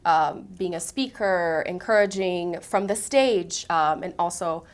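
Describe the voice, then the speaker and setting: A woman's voice: fairly low pitch, a little slow. A woman from Brazil, a singer and speaking coach, telling her story earnestly as a guest in an interview. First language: Portuguese. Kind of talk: interview conversation.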